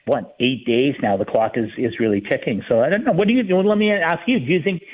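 A male hockey analyst talking on sports radio in a halting, conversational way, restarting phrases as he thinks aloud. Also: medium pitch, husky. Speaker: sports writer, guest analyst. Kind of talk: hockey talk radio discussion. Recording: thin, phone-like line.

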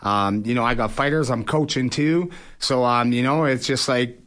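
A man of middling pitch talks as a guest in a casual sports interview. He sounds like a fighter explaining his trade in plain, informal speech, often tagging phrases with "you know". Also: moderate pace, fairly bright tone.

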